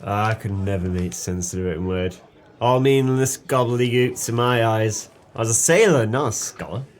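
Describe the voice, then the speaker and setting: An actor playing a drunken, guilt-ridden former sailor in an audio drama, effusive, sentimental and rambling. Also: male voice, lowish voice, moderate pace, close to an everyday voice. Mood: cheerful, apologetic.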